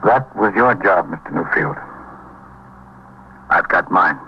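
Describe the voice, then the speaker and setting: A man's voice: low-pitched, lively intonation, slow pace, heavily acted. A male actor playing a stern, methodical American police lieutenant in a 1950s radio drama, firing clipped, formal, pressing questions. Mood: stern, accusing. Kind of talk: 1950s radio acting, interrogation. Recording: muffled, noisy 1950s radio recording.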